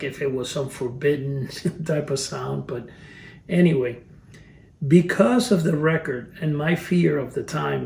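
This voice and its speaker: A man's voice: a little high, vocal fry, darkish tone, roomy sound, recorded from a distance. A Cuban-born man in his fifties chatting casually as he reviews old collectibles, rambling and restarting his sentences. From Cuba. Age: 50s. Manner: rambling, restarts phrases, chuckles at his memories. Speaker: collector reviewing vintage items. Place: cluttered storage room.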